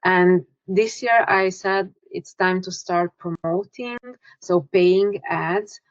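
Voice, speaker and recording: Romanian woman, relaxed, dull call audio. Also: on the low side, slow pace, no room sound. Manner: relaxed, restarts and self-corrections. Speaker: author and energy healer guest. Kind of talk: conversational interview.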